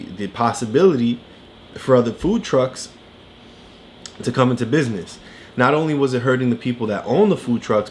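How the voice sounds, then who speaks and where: Really deep voiced lady doing a read-along with a kid, explains stuff and checks in a lot, bit of background noise. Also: a little mellow. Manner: encouraging, explains with check-in questions. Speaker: parent co-host of a read-aloud. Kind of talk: casual read-along chat with a child.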